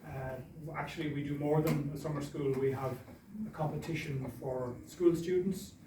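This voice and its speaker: A man's voice: fairly high pitch, fairly even intonation, normal speed, noisy background. A man giving a guest talk as chair of an organisation, starting hesitantly with repeated words. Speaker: guest speaker, chair of a school. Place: large indoor hall. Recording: echoey hall from far off.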